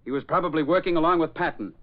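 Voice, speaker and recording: An actor playing a shrewd, decisive police inspector in a 1940s radio drama. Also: male voice, fairly high pitch, very fast, natural voice. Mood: certain. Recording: dull old 1940s radio recording.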